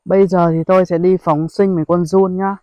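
Young man, fairly high voice, casual outdoor vlogger, dry close phone audio. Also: level tone, brisk, warm tone, no room sound, muffled recording. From Vietnam. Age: young adult. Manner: chatty, addresses viewers as brothers, frequent exclamations. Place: outdoors among rice paddies and hills. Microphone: phone held at arm's length.

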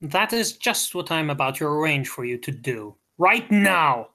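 A man's voice: a little high, lively intonation, unhurried, bright, forceful tone, voice pushed up, heavily acted. A man playing a menacing villain in a superhero radio drama. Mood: gloating menace. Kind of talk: amateur radio drama acting.

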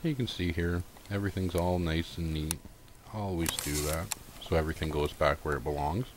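Really low deep guy voice walking thru a repair, laid out step by step, folksy, bit of noise behind him. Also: normal speed. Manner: informal, folksy, unscripted. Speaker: host of a repair tutorial. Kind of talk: casual step-by-step how-to narration.

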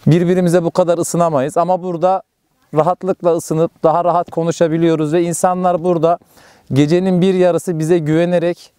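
Clear, higher-voiced man. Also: little pitch movement, fairly quick, dark tone, dry recording, studio quality. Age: adult. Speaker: camping group helper. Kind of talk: casual interview to camera. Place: outdoors at a campsite.

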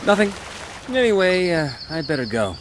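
A man's voice: high-pitched, very animated, normal speed, noisy background. A man voicing an eager, cheeky young policeman with an American accent in a video game. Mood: breezy, earnest. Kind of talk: animated video game character acting. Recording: loud music behind.

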